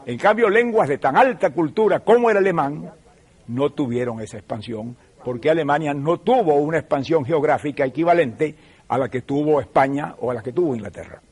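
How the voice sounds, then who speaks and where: An older man with a Venezuelan accent, hosting a TV program and lecturing in a measured, professorial, emphatic way. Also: fairly high pitch, lively intonation, a little mellow, slightly rough. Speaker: TV show host. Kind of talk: televised lecture.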